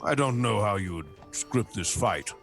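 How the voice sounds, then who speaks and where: Man, tabletop roleplayer, in character; slow, deliberate, clipped lines and thoughtful hums. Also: on the low side, expressive, vocal fry, a bit bright, soft background music. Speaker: player.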